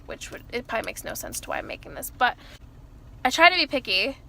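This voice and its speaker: Bright, loud-voiced young woman rambling. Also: on the high side, sing-song, fast, vocal fry, a little hiss or hum, rough recording. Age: early 20s. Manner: rambling, self-interrupting, many fillers like "like" and "you know". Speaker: vlogger. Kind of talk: casual confessional chat to camera. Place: inside her parked car.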